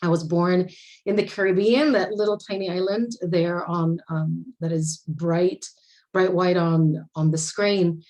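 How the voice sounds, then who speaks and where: A woman from Puerto Rico, with Spanish as her first language, presents remotely over a video call. She tells her story in a personal way, often restarting her phrases. Her voice sits a little lower than most women's. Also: fairly even intonation, a little slow, darkish tone. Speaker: conference presenter.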